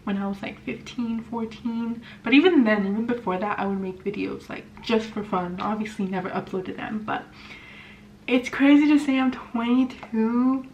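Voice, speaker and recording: Young woman, 20s, casual rambling vlog chat, a touch high-pitched, dull camera-mic sound. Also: normal speed, a little mellow. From California. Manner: chatty and rambling, many fillers and restarts. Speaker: vlogger. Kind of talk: casual chat while doing makeup. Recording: slightly dull sound with faint hiss.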